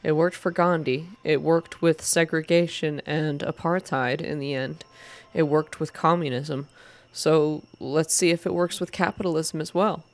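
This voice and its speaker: Calm, earnest activist man voiced by a woman. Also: low voice, unhurried. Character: calm, earnest male activist leader, persuasive.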